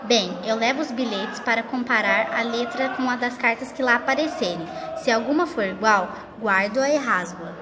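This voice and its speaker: A woman's voice: on the high side, normal speed, few pauses, natural voice. A woman acting an anxious, pleading wife in a secret affair, in an amateur radio drama. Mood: resolute. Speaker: student actor in a school radio play. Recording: noisy, echoey hall recording.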